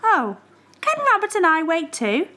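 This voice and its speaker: Woman as a little boy mouse, storybook, polite, inquisitive, high voice, swooping intonation. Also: huge pitch swings, a little slow, sharp tone, full character voice. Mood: hopeful.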